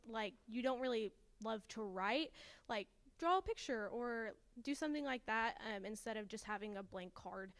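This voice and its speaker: A woman's voice: fairly high pitch, creaky, a bit bright. A teenage girl presenting a student project and answering judges' questions, earnest and sprinkled with ums. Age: teens.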